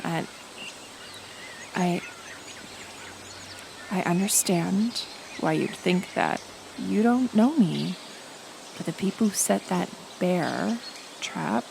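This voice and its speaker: Woman acting slow, earnest forest healer, slightly creaky. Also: fairly low pitch, heavily acted. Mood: indignant, insistent. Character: kind, stubborn woman healer living alone in the woods. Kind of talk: roleplay audio drama, speaking directly to the listener. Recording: outdoor nature ambience, insect noise. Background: forest ambience with insects.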